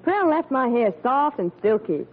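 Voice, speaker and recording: On a thin old radio recording, a delighted customer giving a testimonial. Her high voice is lively, in 1940s commercial style. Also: measured pace, narrow, tinny audio, full character voice.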